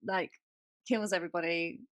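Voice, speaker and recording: A woman's voice: fairly low pitch, steady tone, normal speed, fairly bright tone, dry recording. A woman co-hosting a podcast in casual chat, speaking informally and with emphasis. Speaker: podcast co-host.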